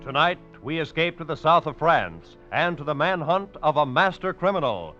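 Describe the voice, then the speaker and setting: A man's voice: on the high side, expressive, normal speed. A man introducing a 1940s radio drama as its announcer in a grand, dramatic style. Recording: muffled old radio recording with loud organ music.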